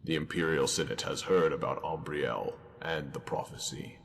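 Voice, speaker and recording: A man voicing an ancient god-emperor returned in human form, speaking in a solemn, measured way in game dialogue. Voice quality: deep and gravelly. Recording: long hall reverb.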